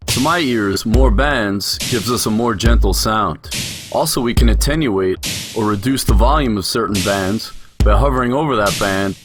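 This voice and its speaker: Male tutorial instructor, lower-pitched voice, explanatory voice-over delivery with clear music underneath. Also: normal speed.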